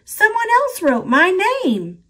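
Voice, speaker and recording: A woman's voice: high voice, wildly swooping pitch, takes it slowly, fairly bright tone, voice pushed up, full character voice. A woman voicing a young schoolboy in a children's storybook, speaking in an indignant, exclaiming way. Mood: upset. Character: young schoolboy, rough and quick to protest.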